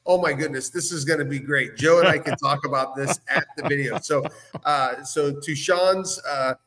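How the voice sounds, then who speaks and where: A man's voice: on the high side, normal speed, sharp tone. A man co-hosting a podcast recap, chatting casually and rambling with repeated words.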